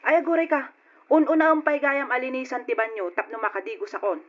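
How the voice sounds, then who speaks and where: A woman's voice: sits high, fairly even intonation, fast talker, close to an everyday voice. A woman playing a bossy, commanding older sister. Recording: dull lo-fi audio.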